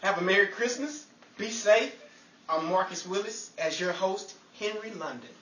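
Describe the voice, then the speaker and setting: A man's voice: high voice, normal speed, a bit bright. A man hosting an old-time radio-style show, delivering scripted sign-offs. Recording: roomy, distant mic, faint noise.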